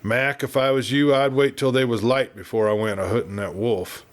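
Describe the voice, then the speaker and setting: A man voicing a nervous, pleading cowboy sidekick in a Western audiobook, with a rustic American accent and a sighing, hushed delivery. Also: medium pitch, steady tone, moderate pace, close to an everyday voice. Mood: anxious. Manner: hushed, sighing, whispering, apologetic. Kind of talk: Western audiobook character reading.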